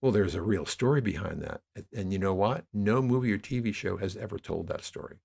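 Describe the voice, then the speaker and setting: A man with a fairly low voice talks as a podcast guest. He explains his creative work in a conversational, wordy way, often circling back to rephrase a thought. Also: expressive, a little fast, creaky, darkish tone, slightly rough. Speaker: podcast guest, audio drama producer.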